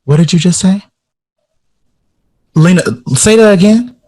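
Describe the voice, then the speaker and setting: A man's voice: sits high, a little slow, darkish tone. An actor playing a heartbroken, wistful male jazz composer in a 1960s audio drama. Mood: sudden inspiration.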